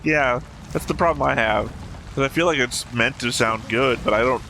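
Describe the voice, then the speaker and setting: Gravel-edged man giving casual commentary. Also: medium pitch, moderate pace, sharp tone, slight background noise, rough recording. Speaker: reaction video host. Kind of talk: casual commentary on an animated series. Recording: music playing behind the voice. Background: show soundtrack music.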